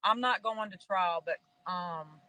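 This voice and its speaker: A woman's voice: on the low side, measured pace, bright, forceful tone, dry recording, poor audio. A middle-aged woman talking casually and personally on a jail video call. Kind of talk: casual personal phone conversation.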